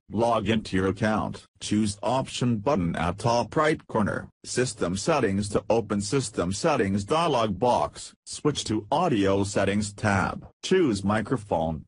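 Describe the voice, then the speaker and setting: A man narrating a scripted software tutorial, reading out instructions step by step. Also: fairly low pitch, husky.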